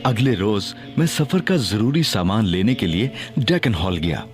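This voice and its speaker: A man's voice: on the low side, animated, unhurried, soft background music. An actor playing a cocky young male detective in an audio drama, teasing and theatrical, also narrating in first person. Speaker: Ali Imran.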